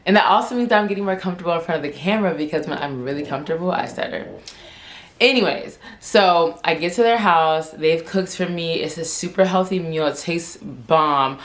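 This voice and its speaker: A woman's voice: on the low side, a little fast. A woman in her twenties telling a story to camera in a chatty, rambling way, full of "like", with a stutter and repeated words. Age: 20s. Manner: chatty and rambling, heavy use of "like". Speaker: vlogger.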